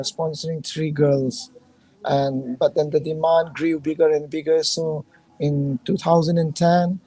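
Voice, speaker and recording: A hoarse woman from Manipur, a charity founder speaking earnestly over a video call, pausing often with fillers as she loses her voice. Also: low-pitched, warm tone, dry recording, poor audio. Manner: halting, earnest, many fillers. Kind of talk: casual video call conversation.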